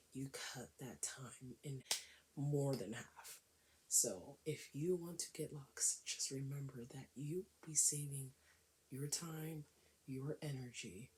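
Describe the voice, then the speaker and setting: A woman with a raspy, cold-worn voice chatting casually to camera in a hair vlog, often dropping into a whisper. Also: a bass voice, a little hiss or hum, low-quality recording. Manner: chatty, emphatic, with frequent whispery stretches. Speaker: hair vlogger.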